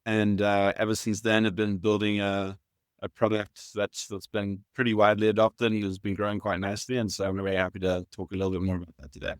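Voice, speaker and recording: A man in his thirties from South Africa with a South African accent, explaining his work at a measured pace as an interview guest, with some fillers and restarts. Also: fairly low pitch, flat delivery, clear, resonant tone, no room sound, clean studio sound. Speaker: guest, startup founder. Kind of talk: conversational interview answers.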